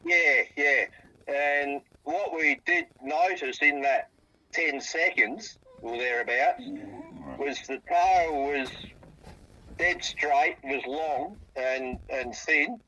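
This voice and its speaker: An Australian man from South Australia, speaking over a phone on speaker and describing what he saw in a halting way, often repeating his words. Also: on the high side, bright, forceful tone, lo-fi sound, a little hiss or hum. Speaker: interviewee, eyewitness. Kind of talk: phone interview.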